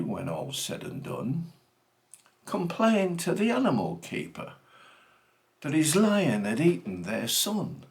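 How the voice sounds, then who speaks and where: A man theatrically reciting comic verse with dramatic, deliberate phrasing. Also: a little high, creaky. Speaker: reader of a comic monologue poem.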